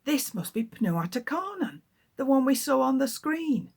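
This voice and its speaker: A woman's voice: high voice, big pitch swings, a little slow, creaky, darkish tone, husky, voice pushed up, full character voice. A woman voicing a curious young boy space traveller in a storybook reading, eager and questioning. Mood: amazed.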